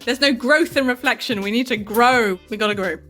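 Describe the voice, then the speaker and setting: A woman's voice: a little high, a little fast, a bit bright, faint music behind. A woman with a British accent speaks as a guest author in an interview. She is conversational and thoughtful, with a self-deprecating way of talking. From Britain. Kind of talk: conversational interview about a book.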